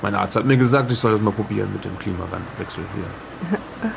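A chatty, nosy old fisherman, played by a man in an improvised radio play. His voice is low and gravelly, rambling and halting, with clear vehicle-like noise behind it. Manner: rambling, halting, repeats himself. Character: chatty, nosy aging gouty southern German fisherman. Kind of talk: improvised in-character audio drama retelling. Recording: clearly noisy background, vehicle-like ambience.

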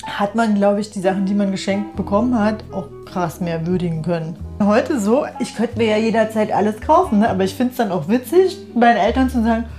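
A woman's voice: medium pitch, brisk, hardly any pauses, darkish tone, soft background music. A middle-aged woman from East Germany chatting casually in colloquial speech, often ending on tag questions. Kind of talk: casual chat.

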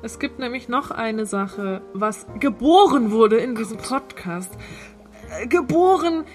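A woman's voice: fairly high pitch, expressive, measured pace, soft background music. A woman in her late twenties co-hosting a podcast with casual, playful banter and teasing wordplay. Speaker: podcast co-host.

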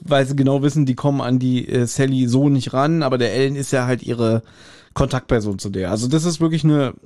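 Mellow-voiced man chatting, closely recorded. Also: medium pitch, fairly quick, no echo, studio quality. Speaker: podcast co-host. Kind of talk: casual chat retelling and reviewing a story.

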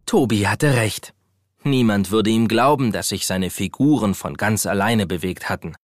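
A man's voice: lowish voice, moderate pace, dry recording, studio quality. A man narrating a scripted children's audio story.

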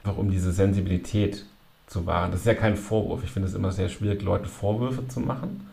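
Deep gravelly man asking measured questions. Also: fairly even intonation, dark tone. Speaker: interviewer, host. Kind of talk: conversational interview.

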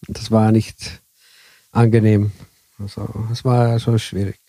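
A man from southern Italy speaking German with an Italian accent, telling his story haltingly as an interview guest. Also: fairly low pitch, little pitch movement, dark tone, no echo. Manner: halting, broken German with many fillers. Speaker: guest, bus driver. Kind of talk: casual interview chat.

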